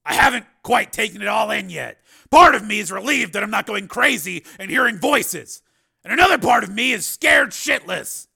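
A male narrator plays an agitated action hero in an audiobook thriller. The voice is pushed high and loud, with a hard gravelly edge. Delivery is strained, emphatic and forceful. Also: lively intonation, moderate pace, exaggerated voice. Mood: dazed.

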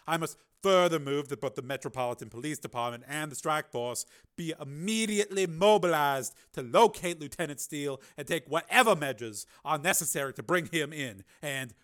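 A man's voice: fairly high pitch, fairly bright tone, pitched above the performer's own voice. Man voicing a cold, imperious senator. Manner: formal, clipped, coldly threatening. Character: cold, imperious male senator chairing a committee.

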